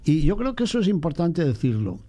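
A priest, chatting about faith in a lively way and mimicking other people's voices. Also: male voice, a little high, a little fast. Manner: animated, mimics voices in quotes. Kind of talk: casual chat on faith.

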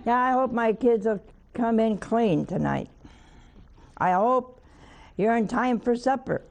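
A hoarse woman hosting a spiritual talk show, chatting in a folksy, teasing way. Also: a little high, measured pace, darkish tone. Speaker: TV host, nun. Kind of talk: homely spiritual talk to a studio audience.

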